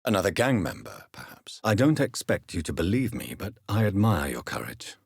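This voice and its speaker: A man playing a grave, educated English gentleman doctor turned vampire in a video game, speaking formally and earnestly in a low, husky voice. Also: big pitch swings, dry recording, clean studio sound, full character voice. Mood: reflective, probing. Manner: formal, measured, earnest phrasing. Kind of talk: video game character dialogue.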